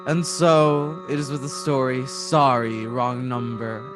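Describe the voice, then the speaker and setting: A man's voice: mid-range voice, little pitch movement, music in the background, close to an everyday voice. A man playing a grave, ominous announcer of a suspense series, in a dramatic old-time radio style. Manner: deliberate, dramatic.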